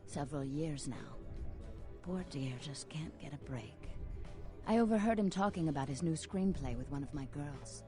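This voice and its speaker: Actress as a theatrical, teasing, mellow vampire woman. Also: low voice, close to an everyday voice. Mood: mock pity, teasing. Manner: theatrical, teasing, sorrowful delivery with whispered phrases. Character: seductive female vampire club owner, dramatic and possessive. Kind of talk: video game voice acting.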